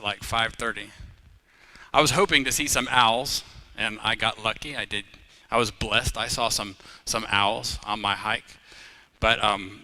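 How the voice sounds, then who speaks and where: A middle-aged man who grew up in Uganda, preaching a live sermon as pastor, telling personal stories with humor and rising louder on key phrases. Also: medium pitch, poor audio.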